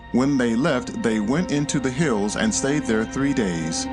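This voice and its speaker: Casting: male narrator, mid-range voice, expressive storytelling of scripture over music. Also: medium pitch. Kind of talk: scripted dramatized Bible narration.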